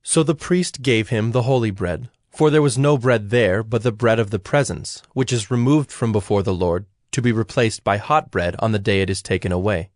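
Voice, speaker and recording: A man narrating a dramatized Bible story from a script. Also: fairly low pitch.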